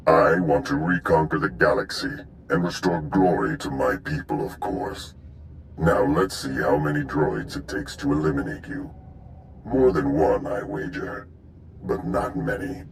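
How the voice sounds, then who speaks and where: Rumbling, extremely deep Hutt crime lord. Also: male voice, lively intonation, a little hiss or hum, exaggerated voice, poor audio. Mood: gloating threat. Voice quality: gravelly, rumbling. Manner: slow, grandiose, smug. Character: menacing male Hutt crime lord and spymaster. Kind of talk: video game villain acting.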